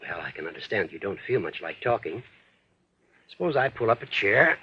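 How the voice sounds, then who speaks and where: A self-assured, persuasive doctor in an old radio play. His voice is husky and moves widely in pitch, formal and earnest, on a muffled recording. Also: lively intonation, brisk, bright, forceful tone, exaggerated voice. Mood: gentle, coaxing. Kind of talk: 1940s radio drama acting.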